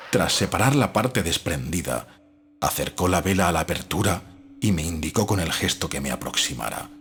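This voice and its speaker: A man's voice: low-pitched, heavy background noise. A man reading a gothic horror audiobook as a scripted, dramatic narration. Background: faint music.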